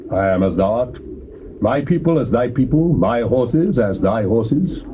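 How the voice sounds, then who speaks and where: A devout, courteous king, played by a man speaks in measured phrases at medium pitch. It is classical scripture drama on a dull, hissy cassette. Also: animated, close to an everyday voice. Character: courteous, pious, loyal king of Judah. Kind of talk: dramatized Bible reading, classical stage acting. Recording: muffled, noisy old cassette.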